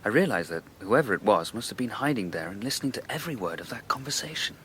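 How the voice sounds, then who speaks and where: An actor playing an eager, good-natured British gentleman amateur sleuth in a radio drama, earnest and easily flustered. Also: lowish voice, sing-song, fast talker, slight background noise. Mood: narrating.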